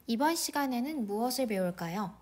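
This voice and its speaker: A woman teacher talks pupils through a textbook lesson in a clear, guiding tone. Her voice sits a little high, and she moves through the material at a very quick pace. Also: lively intonation, darkish tone. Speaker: elementary school teacher. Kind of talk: classroom lesson explanation.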